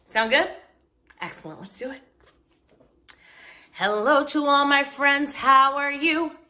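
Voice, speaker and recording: A woman hosting a story time for young children, speaking directly to them in simple, clear phrases as she talks and reads aloud. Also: sits high, takes it slowly, pushed and bright. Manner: addresses listeners directly, simple explanations with check-in questions.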